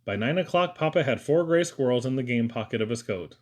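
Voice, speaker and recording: A man's voice: medium pitch, expressive, a little fast, darkish tone, a little hiss or hum. A man reading a first-person story aloud as an audiobook narrator. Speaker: audiobook reader.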